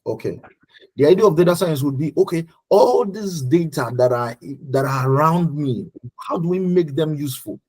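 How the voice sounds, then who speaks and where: A man with a Nigerian accent and a husky voice teaching an online class informally, repeating phrases for emphasis and checking in with listeners. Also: a little high, expressive, moderate pace, darkish tone, poor audio. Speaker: teacher leading an online study group. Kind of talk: informal live lecture with check-in questions.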